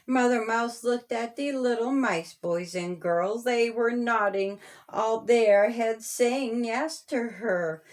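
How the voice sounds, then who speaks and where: Woman, slightly high voice, very slow pace, children's storybook narration, sing-song delivery. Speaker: author reading own story.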